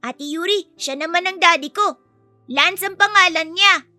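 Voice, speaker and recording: A woman's voice: extremely high pitch, unhurried, sharp tone, dry recording, soft background music, voice pushed up, full character voice. A woman voicing a polite, earnest, sweet little boy in a dramatised story.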